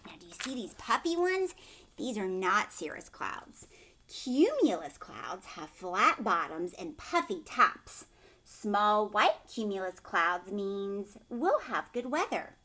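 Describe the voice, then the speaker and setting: A woman in her thirties reading aloud and explaining to young children in an expressive way, asking simple questions, recorded at home on a webcam. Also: mid-range voice, slow. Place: living room at home, indoors. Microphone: webcam or laptop at arm's length.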